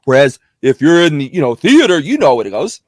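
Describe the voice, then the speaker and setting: Middle-aged actor, slightly whiny, rambling chat, dry close mic. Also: male voice, fairly high pitch, brisk, no echo. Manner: animated, rambling, many restarts. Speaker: actor and guest. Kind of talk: casual video chat.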